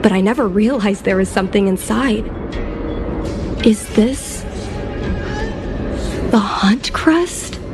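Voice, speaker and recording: An actress playing a frustrated young daughter who longs to fly, in a video game. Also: female voice, mid-range voice, slow, pauses often. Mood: curious. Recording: music behind the voice.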